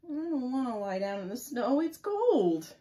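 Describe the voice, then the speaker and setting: A woman's voice: high voice, very animated, unhurried, mellow, pitched above the performer's own voice. A woman voicing a reluctant young boy in a picture book, with a hesitant, plain childlike manner. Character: reluctant young boy cousin, new to snow.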